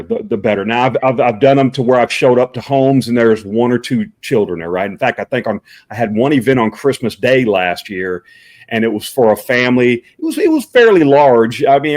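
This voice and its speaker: Middle aged guy, booming voice, lots of uhs, casual guest answers. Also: darkish tone. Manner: booming voice, filler-heavy with uhs and restarts. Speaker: professional Santa Claus performer, guest.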